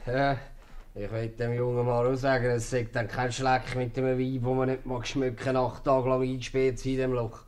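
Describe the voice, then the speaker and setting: A man's voice: mid-range voice, level tone, moderate pace, a little mellow, natural voice. A man playing a hesitant, plaintive, henpecked husband with a Swiss German accent in a 1950s radio comedy. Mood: rueful, relieved. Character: henpecked, weary husband freed from lock-up.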